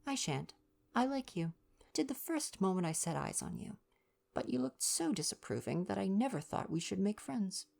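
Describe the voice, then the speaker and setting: A woman's voice: medium pitch, sing-song, moderate pace, creaky, darkish tone, pitched above the performer's own voice, heavily acted. A woman voicing a cheeky, slangy young American-born actress and acrobat in a read-aloud novel. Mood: playful, bold. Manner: slangy, brash, playful.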